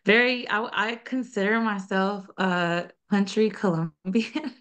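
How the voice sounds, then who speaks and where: Earnest woman, smooth voice. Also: mid-range voice, steady tone, fairly bright tone. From United States (North Carolina). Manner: earnest, halting with frequent restarts. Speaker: guest, nonprofit founder and social worker. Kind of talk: conversational interview answers.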